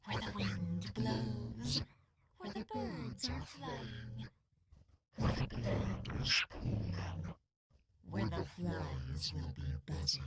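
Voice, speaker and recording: A woman voicing an eerie, seductive otherworldly messenger in a horror game, cryptic and often half-whispered, over a busy din. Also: mid-range voice. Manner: slow, breathy half-whispered cryptic delivery.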